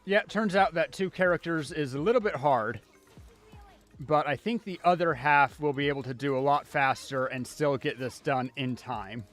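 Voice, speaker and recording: A man's voice: fairly high pitch, moderate pace, fairly bright tone, soft background music. A man giving casual live commentary as he plays a video game, talking half to himself as a streamer. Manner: casual, muttering self-talk while playing.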